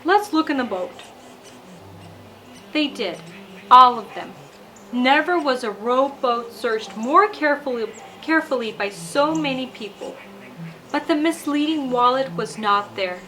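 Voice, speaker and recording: A woman reading a children's bedtime story aloud in a deliberate, expressive storybook delivery. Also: high-pitched. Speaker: storyteller and show host.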